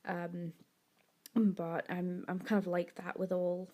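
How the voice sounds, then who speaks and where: A woman's voice: lowish voice, flat delivery, slow pace, a little mellow. A woman, a bit sniffly from a cold, chatting in a rambling, self-correcting way while talking through her drawing. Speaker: art vlogger. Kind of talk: casual chat over a drawing.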